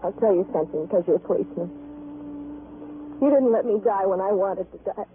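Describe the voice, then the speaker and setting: An actress playing a bitter, despairing young wife in an old-time radio melodrama, impassioned and accusing. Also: female voice, medium pitch, fairly quick, lightly acted. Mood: defiant, accusing. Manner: impassioned, accusing, repeats questions. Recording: noisy, tinny vintage radio recording.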